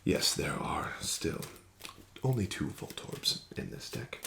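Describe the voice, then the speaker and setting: Male ASMR casino dealer: unhurried, lilting and smoothly persuasive, with a polite formal delivery and frequent whispers. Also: rumbling low, big pitch swings, heavy background noise. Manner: formal, courteous, gently coaxing dealer patter. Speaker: casino card dealer in a roleplay. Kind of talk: ASMR roleplay, soft-spoken with whispered phrases.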